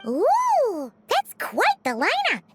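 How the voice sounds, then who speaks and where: A woman voicing a tiny, childlike, cheeky fairy companion with a squeaky, chirpy voice in an anime game dub. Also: huge pitch swings, slow pace, loud music behind the voice, exaggerated voice. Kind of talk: English-dubbed anime game acting.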